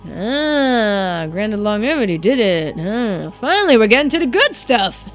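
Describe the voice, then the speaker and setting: A woman's voice: on the high side, very animated, a little slow. A woman voicing an eager, genteel investigator in a video game, with florid, exclamatory speech. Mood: eager, delighted. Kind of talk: game character dialogue read aloud. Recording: music behind the voice.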